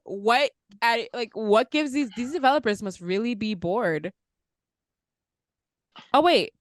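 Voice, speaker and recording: A woman's voice: fairly high pitch, animated, slow pace, no room sound, clean studio sound. A woman hosting a casual panel chat, speaking informally and asking plenty of questions. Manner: conversational, informal, inquisitive. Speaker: podcast host.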